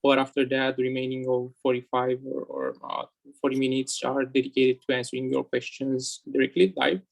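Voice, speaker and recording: Flat-voiced male webinar moderator. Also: medium pitch, fairly quick, gravelly, low-quality recording. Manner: hesitant, polite, orderly. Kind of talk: webinar welcome and housekeeping. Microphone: video call.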